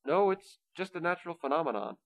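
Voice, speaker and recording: A man's voice: on the high side, animated, fast, dry recording. A man playing a kindly, patient Saint Nicholas who reasons earnestly, in an amateur comedic radio play. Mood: patient, pleading.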